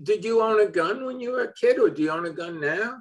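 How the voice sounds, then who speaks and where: A man's voice: sits high, animated, fast talker. A man in his seventies from Brooklyn, chatting casually on a video call from his home office and chuckling often. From Brooklyn, New York. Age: 70s. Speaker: host.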